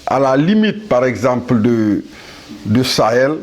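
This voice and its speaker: A man in his seventies from Senegal, delivering a solemn monologue to the world in a measured, halting way with pauses and restarts. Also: medium pitch, lively intonation. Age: 70s. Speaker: speaker addressing the world.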